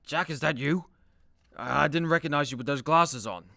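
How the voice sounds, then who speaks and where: A man's voice: on the high side, normal speed, creaky, pushed and bright, no room sound, clean studio sound. An actor playing a hard-drinking, boastful male demigod superhero in a radio comedy, blunt and jaded. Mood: mock alarm. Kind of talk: comedy radio play acting.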